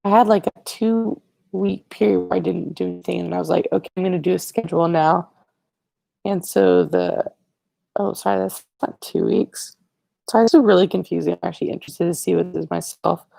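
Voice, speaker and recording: A teenage boy hosting a casual livestream, rambling and correcting himself as he chats to viewers, his voice raspy today. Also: high-pitched, fairly even intonation, a little fast, mellow, dry recording, low-quality recording. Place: living room, indoors. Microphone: earbud headset mic, webcam.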